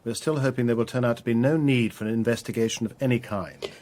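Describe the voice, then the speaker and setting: An actor playing a blunt English country gentleman with an upper-class accent and clipped manner, in a radio drama. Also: medium pitch, fast, lightly acted. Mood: resigned. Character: well-bred, blunt English country squire, bereaved son.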